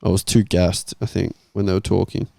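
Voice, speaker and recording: Lowish-voiced man hosting casual football chat. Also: flat delivery, brisk, creaky, dark tone, no echo. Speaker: football podcast host. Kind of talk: casual chat, moderating a discussion.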